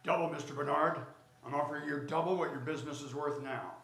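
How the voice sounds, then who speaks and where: A man playing a smooth, self-assured department store tycoon, brisk and commanding, in a radio drama. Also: on the high side, steady tone, fairly bright tone. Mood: cool, formal. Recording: roomy stage recording, faint ambient noise.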